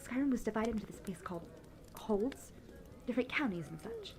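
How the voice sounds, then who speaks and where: A woman's voice: on the high side, sing-song, darkish tone, slight background noise. A young woman playing a peppy traveling bard in a fantasy audio roleplay, telling tales earnestly and fondly without hurry. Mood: earnest, nostalgic. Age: young adult. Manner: earnest, fond, unhurried storytelling.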